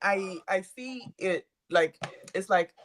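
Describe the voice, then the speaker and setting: A woman from Ghana holds forth in a relaxed group conversation. Her voice sits at an everyday middle pitch, and she presses her points home with emphatic, preachy asides. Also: takes it slowly. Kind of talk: casual group chat.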